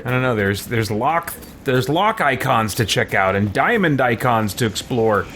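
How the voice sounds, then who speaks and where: A man commentates casually on a game he is playing. His pitch swings around a lot and his pace is unhurried, with exclamatory, exasperated rambling. Clear noise sits behind the voice. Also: animated. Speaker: let's play host. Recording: noisy background from game audio.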